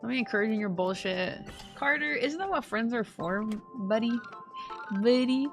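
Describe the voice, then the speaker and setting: A woman's voice: fairly high pitch, moderate pace. A woman live-streaming a game, chatting casually with viewers in playful, slangy, profane banter. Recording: game music behind the voice. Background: video game music.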